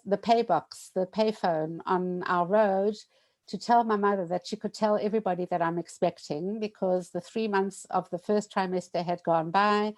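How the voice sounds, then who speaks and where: A woman in her sixties telling a personal memorial story, hesitant and reflective, with frequent pauses and restarts. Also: on the low side, a little mellow. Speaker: bereaved widow sharing memorial story.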